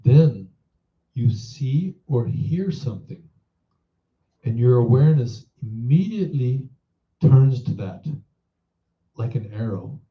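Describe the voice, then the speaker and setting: Very slow-spoken man guiding meditation, roomy echo. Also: mid-range voice, expressive. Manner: measured, instructive, posing reflective questions. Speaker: meditation teacher. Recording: roomy echo, dull muffled sound.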